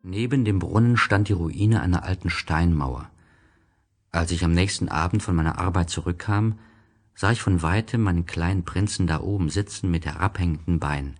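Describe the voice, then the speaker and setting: A man reading scripted literary narration as a storyteller, expressive and dramatic. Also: low-pitched, normal speed.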